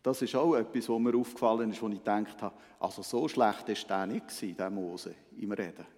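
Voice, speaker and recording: A man's voice: lowish voice, brisk, darkish tone. A man giving a conversational sermon as a preacher, explaining things and often checking in with short tag questions. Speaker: Methodist preacher. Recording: echoey hall with long reverb.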